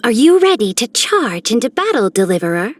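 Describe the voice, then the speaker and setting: An actress playing a confident, commanding young strategist in a fantasy video game, with measured, assured phrasing. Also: female voice, on the high side, sing-song. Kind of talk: scripted game character voice lines.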